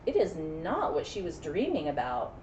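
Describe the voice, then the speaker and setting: A woman's voice: sits low, wildly swooping pitch, normal speed, darkish tone. A woman teacher discussing a children's story casually, with an affirming, teacherly manner. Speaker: teacher co-hosting a read-aloud. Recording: echoey room, distant mic, faint noise.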